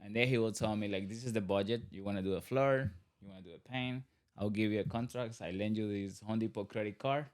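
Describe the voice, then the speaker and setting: A man's voice: lowish voice, quick pace, a little mellow. A man in his thirties from Colombia with a Colombian accent, a podcast guest talking casually and rambling with restarts. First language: Spanish. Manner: rambling, restarts, laughs often. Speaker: podcast guest, business owner. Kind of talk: casual interview talk.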